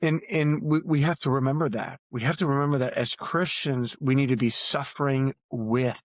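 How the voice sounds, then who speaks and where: A man's voice: medium pitch, steady tone, moderate pace, dry recording, clean studio sound. A man talking earnestly as an author guest on a podcast, repeating phrases for emphasis. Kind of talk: earnest podcast interview talk.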